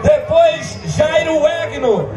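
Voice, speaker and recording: A man organizing a street chicken race, shouting for participants and bantering with the announcer. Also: extremely high pitch, slow pace. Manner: bossy, shouting names, bantering. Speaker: event organizer calling up racers. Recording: echoey outdoor event with loud music and crowd.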